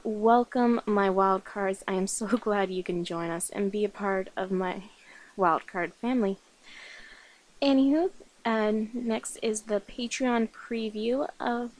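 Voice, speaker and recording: A woman's voice: mid-range voice, takes it slowly, darkish tone, poor audio. A woman giving a casual, upbeat update to viewers as the host of her own roleplay channel.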